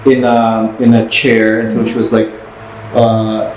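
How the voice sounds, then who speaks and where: A man's voice: lowish voice, monotone, a little slow, darkish tone. A man telling anecdotes as a podcast host in casual, rambling talk, often restarting his phrases. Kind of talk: casual interview chat with anecdotes. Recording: echoey room with faint noise.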